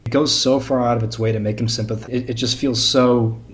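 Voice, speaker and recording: Halting, stammering man on a podcast. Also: mid-range voice, steady tone. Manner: halting, stammering, repeats words. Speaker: podcast panelist. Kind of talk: casual fan discussion. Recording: faint background noise.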